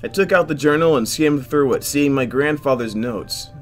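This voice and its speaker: A man hosting a game playthrough, reading visual novel narration aloud in an expressive way, stumbling now and then and dropping in joking asides. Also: fairly high pitch, moderate pace, faint music behind. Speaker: host of a video game playthrough.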